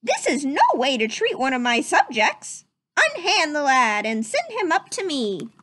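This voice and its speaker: A woman's voice: high-pitched, wildly swooping pitch, unhurried, pushed and bright, no room sound, full character voice. A woman voicing a self-assured, bored young prince in a children's storybook, emphatic and playfully commanding. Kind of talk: children's storybook read-aloud.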